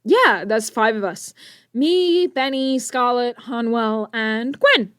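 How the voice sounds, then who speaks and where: A young woman playing an eager, brainy teenage inventor hero in an audio drama, geeky and expressive. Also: a little high, slow, a little hiss or hum. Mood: curious, chatty.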